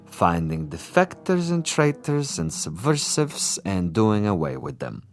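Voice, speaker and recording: A deep-voiced, suave assassin commissar. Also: male voice, sing-song, measured pace, soft background music, heavily acted. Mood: smug, menacing. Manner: pompous, precise, silkily polite diction. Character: suave, boastful male assassin commissar, coolly menacing connoisseur. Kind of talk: radio drama acting.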